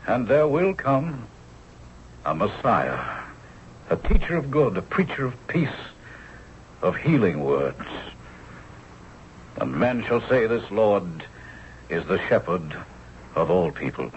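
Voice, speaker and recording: An actor playing a dignified, idealistic captain of the royal guard, oratorical and respectful, in a 1970s radio drama. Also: male voice, on the low side, big pitch swings, slow pace, long pauses. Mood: solemn, fervent. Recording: dull, noisy old radio broadcast recording.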